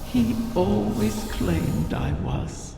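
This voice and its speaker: An actor voicing an ancient wish-granting dragon in a video game, regal and wistful, with slow, formal, theatrical delivery and a breathy, creaky voice. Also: medium pitch, animated, exaggerated voice. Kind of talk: dramatic game dialogue. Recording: long cavernous reverb under music.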